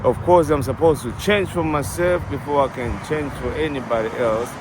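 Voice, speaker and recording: A middle-aged man with a Tanzanian accent, answering a street interview in a halting way with many restarts. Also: medium pitch. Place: city street outdoors. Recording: outdoor street noise.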